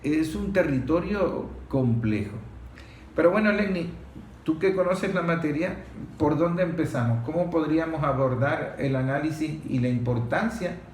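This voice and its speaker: Man, Venezuelan accent, slightly high voice, show host, conversational commentary, echoey room. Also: moderate pace, a little mellow. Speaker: host of an environmental discussion show. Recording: echoey room, background noise.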